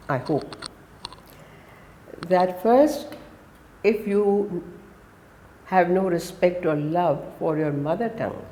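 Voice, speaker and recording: A woman in her seventies, a guest from India who speaks Gujarati as her first language. She talks in English with an Indian accent and gives emphatic answers in quick lists. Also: on the low side, warm tone, slight background noise. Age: 70s. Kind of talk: conversational interview. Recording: roomy echo.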